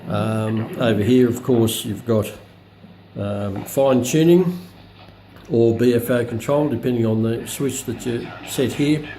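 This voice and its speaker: A man giving a casual, unscripted product demonstration as presenter, halting and searching for words. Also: medium pitch, warm tone, slight background noise, rough recording. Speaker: presenter of a hobbyist radio review video. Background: radio broadcast voices playing from the demonstrated receiver.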